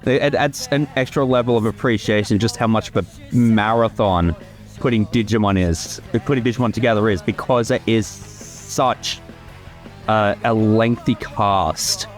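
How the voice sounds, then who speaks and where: A man's voice: on the low side, moderate pace. A man giving casual, explanatory creator commentary, sometimes sweary, with soundtrack music behind him. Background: film soundtrack music.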